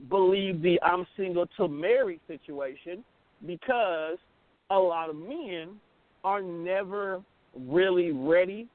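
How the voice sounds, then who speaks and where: A man's voice: high voice, slow, no echo. A middle-aged man co-hosting a radio talk show, giving his opinions in casual, emphatic, colloquial chat. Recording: thin phone-like band-limited sound.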